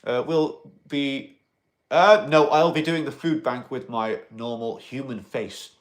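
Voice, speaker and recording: A man in an alien costume, chatting casually on a livestream and answering viewer comments in a rambling, excitable way. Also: mid-range voice, slow. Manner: rambling and excitable, with many fillers and restarts; loud bursts of excitement between quieter asides.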